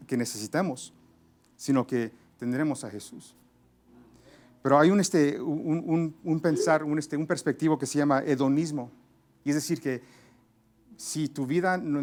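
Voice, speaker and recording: Halting bilingual preacher, mid-high voice. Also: male voice, darkish tone. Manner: halting, many restarts and fillers, searching for Spanish words. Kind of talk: sermon.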